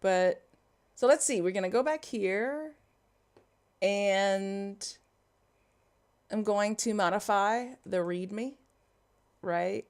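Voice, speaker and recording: A woman's voice: medium pitch, slow, long pauses, a little mellow. A woman from Louisiana with a Cajun English accent, casually chatting through a livestream coding tutorial. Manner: chatty, reads viewer comments aloud and riffs on them. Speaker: livestreaming developer.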